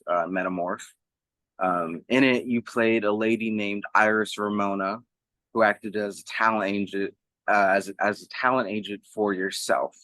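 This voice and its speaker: A man's voice: on the low side, pushed and bright, no room sound, low-quality recording. A man conducting a music interview in an informal, enthusiastic way, swearing casually as he goes.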